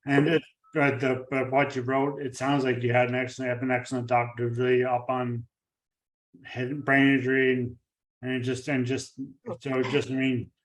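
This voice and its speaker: A man hosting an interview podcast, talking in a halting way with many fillers and restarts. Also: mid-range voice, completely flat delivery. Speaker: podcast host. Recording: echoey room, far from the mic.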